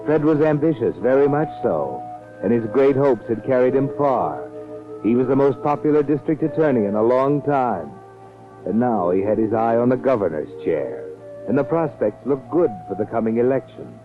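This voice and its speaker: An actor playing a mysterious, sardonic storyteller narrating a 1940s radio mystery with an ominous, deliberate manner. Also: male voice, mid-range voice, lively intonation, natural voice. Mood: wry narrating. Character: mysterious, sardonic male night-walking storyteller. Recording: tinny 1940s radio recording, music behind.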